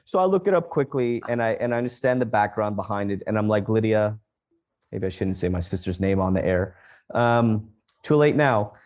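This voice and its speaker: A man's voice: on the low side, lively intonation, a little fast, darkish tone. A man co-hosting a podcast in casual chat, speaking frankly and outspokenly. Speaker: podcast co-host.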